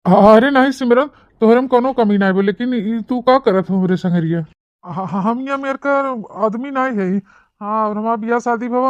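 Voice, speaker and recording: A man's voice: high voice, clear, resonant tone, dry recording, close to an everyday voice. A man voicing a meek, simple married office man in a cartoon comedy, hesitant and stammering, with an Awadhi accent. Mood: nervous, refusing. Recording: muffled, dull, lo-fi sound.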